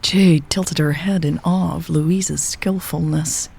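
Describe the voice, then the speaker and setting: A woman's voice: low voice, fairly even intonation, slow, vocal fry, a little mellow, slightly rough. A woman reading a scripted story as a narrator, voicing the dialogue as she goes. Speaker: audio fiction narrator.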